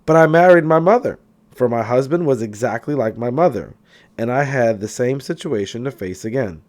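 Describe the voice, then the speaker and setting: A man reading a self-help book aloud as an audiobook narrator, with expressive, emphatic delivery. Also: mid-range voice, moderate pace. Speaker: audiobook reader.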